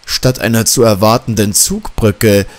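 A man's voice: on the low side, fairly even intonation, a little slow. A man narrating a scripted horror story as an audiobook.

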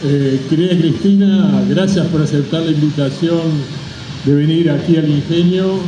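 A middle-aged man delivers a formal speech in a high, mellow voice. He speaks deliberately, with pauses, into an outdoor podium microphone that sounds distant and echoing. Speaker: company representative giving a speech. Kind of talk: public speech. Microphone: microphone on a stand at a podium. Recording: roomy distant PA microphone, background noise.

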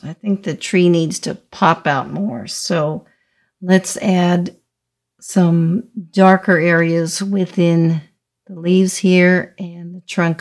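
A middle-aged woman teaching watercolor step by step, speaking haltingly as she thinks aloud while painting. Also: fairly low pitch. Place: indoors, small studio room at a table.

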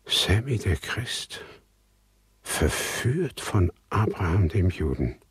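An actor playing a wary, brooding son who narrates a German radio drama in a husky, measured, foreboding voice. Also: male voice, low-pitched, very animated, dry recording, full character voice.

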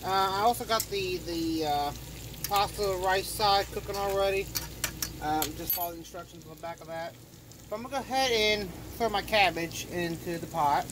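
A man's voice: high-pitched, moderate pace. A man casually chatting while he cooks in a small RV kitchen, rambling folksy talk with a phone camera held over the stove. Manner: relaxed, rambling, folksy, with fillers. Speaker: home cook vlogger. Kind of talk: casual cooking vlog. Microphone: handheld phone camera over the stove. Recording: kitchen noise with sizzling food.

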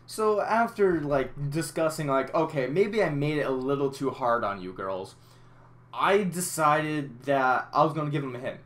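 A man's voice: on the high side, animated, moderate pace, fairly bright tone. A young man from Massachusetts with a headset mic in a small room, telling a rambling, swear-filled story over game footage. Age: young adult. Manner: rambling, frequent swearing and restarts. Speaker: gaming YouTuber. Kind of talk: casual storytelling over gameplay.

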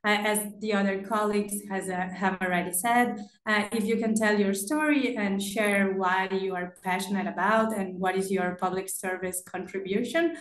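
A woman's voice: medium pitch, fairly even intonation, normal speed. A young woman from Argentina raised in Brazil, a recent graduate speaking encouragingly in a webinar over a laptop call. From Argentina, grew up in Brazil. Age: young adult. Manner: warm, encouraging, with fillers. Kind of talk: webinar talk. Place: small office indoors. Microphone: laptop on video call. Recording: echoey room on a video call.

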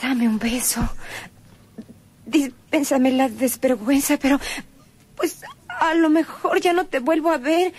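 A woman playing a frail, wounded young woman in love, tender and halting, in a radio melodrama. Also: high voice, steady tone, darkish tone. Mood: tender, weak. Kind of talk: melodramatic radio drama acting.

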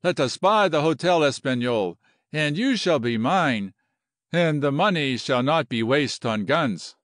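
A man voicing an excitable, romantic Colombian general with a Spanish accent and flowery broken English. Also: fairly high pitch, measured pace, dry recording, clean studio sound. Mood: rapturous.

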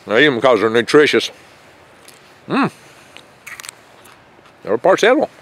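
An older man talks casually outside in a garden among pine trees, holding the camera close as he speaks. His delivery is folksy and meandering, with little jokes and occasional stumbles over his words. His pitch sits in an ordinary middle range for a man. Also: huge pitch swings, moderate pace, a bit bright. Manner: rambling, folksy, chatty with small jokes and stumbles. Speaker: foraging channel host. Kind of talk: casual outdoor foraging vlog. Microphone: handheld camera mic held close.

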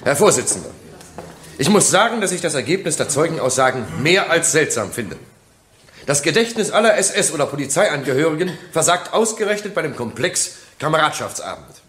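A man's voice: on the high side, very animated, a little fast, fairly bright tone, a little hiss or hum. An actor playing a skeptical male public prosecutor, formal and incisive, in a German courtroom radio play. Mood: skeptical, insistent.

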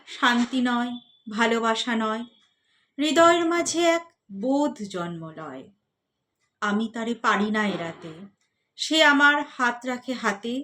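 A woman reciting poetry expressively, in measured phrases that fall softly at the line endings. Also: on the high side.

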